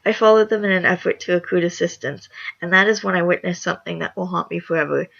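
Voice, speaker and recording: Brief: hoarse, gravelly vlogger reading reviews aloud, mid pitch, some stumbles, dull lo-fi mic. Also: quick pace, a bit bright. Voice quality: raspy. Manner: reads with small stumbles and self-corrections. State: hoarse after just waking up. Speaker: channel host.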